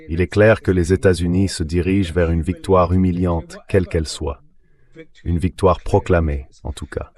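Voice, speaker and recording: A man giving measured, formal geopolitical analysis as an expert guest in a dubbed interview. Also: deep voice, darkish tone.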